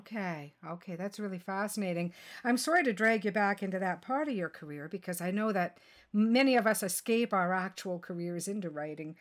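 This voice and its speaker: A woman's voice: medium pitch, a little fast. A woman podcast host talking in a chatty, encouraging, conversational interview style.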